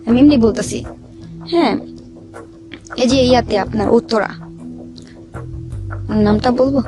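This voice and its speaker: A woman's voice: a little high, a little slow. A woman with a Bangladeshi accent tells her life story informally as a guest, acting out the dialogue as she goes. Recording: music under the voice.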